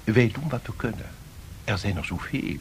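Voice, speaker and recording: An actor playing a patronising, evasive international official in a satirical radio drama, speaking in a measured, officious, placating way. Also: male voice, lowish voice, lively intonation, gravelly, a little hiss or hum. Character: evasive, patronising male League of Nations bureaucrat.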